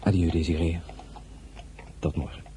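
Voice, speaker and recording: An actor playing a courtly, confident general in love in a radio drama, speaking with formal gallantry. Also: male voice, low-pitched, fairly even intonation, a little slow, warm tone, slight background noise. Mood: tender, confident.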